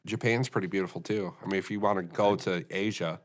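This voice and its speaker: An American man co-hosting a laid-back podcast, talking in loose, rambling stretches. He circles back often, fills pauses with ums and restarts his sentences mid-thought. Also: low voice, steady tone. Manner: rambling, many restarts and fillers. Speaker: podcast co-host. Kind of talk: casual chat.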